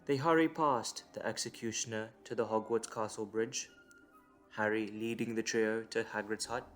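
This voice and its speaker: A man's voice: lowish voice, fairly even intonation, normal speed, soft background music. A man reading scripted story narration as the narrator of an audio drama.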